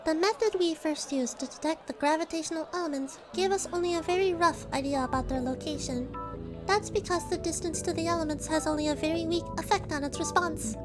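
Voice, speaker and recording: A snooty little yokai girl, super high voice, curt and dismissive, faint music under it. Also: brisk. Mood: cool, matter-of-fact. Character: haughty, disdainful young yokai girl. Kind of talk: radio drama acting.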